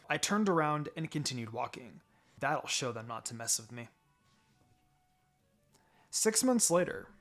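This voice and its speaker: A man reading fan fiction aloud as a dramatic first-person story. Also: a little high. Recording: music behind the voice. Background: background music.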